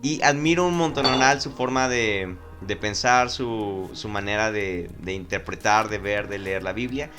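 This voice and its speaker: Host, halting, faint music. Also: male voice, on the low side. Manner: warmly welcoming, halting with repeated words. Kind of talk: casual interview hosting.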